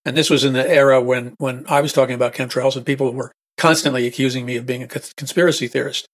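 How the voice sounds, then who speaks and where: Hoarse man preaching on talk radio. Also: medium pitch, fairly even intonation, fast. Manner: emphatic and repetitive, restating points. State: husky, hoarse throat. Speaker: radio show host.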